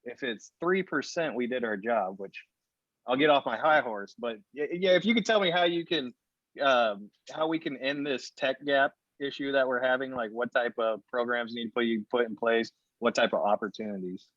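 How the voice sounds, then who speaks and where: A man interviews a guest in a casual, rambling way, winding up to his questions with fillers. His voice sits at a middle pitch. The audio is dry and dull, like a lo-fi call. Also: normal speed, a bit bright, no room sound. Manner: casual, filler-heavy, builds long questions.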